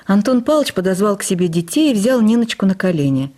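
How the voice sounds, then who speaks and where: A woman reading a memoir for radio as expressive, intimate literary narration. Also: medium pitch, fast.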